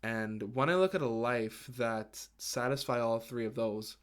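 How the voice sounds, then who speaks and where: A man in his twenties who grew up in Guyana, hosting his first solo podcast episode in an earnest, halting way, with restarts and fillers. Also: medium pitch, clean tone. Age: 20s. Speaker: podcast host. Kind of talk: casual solo podcast talk.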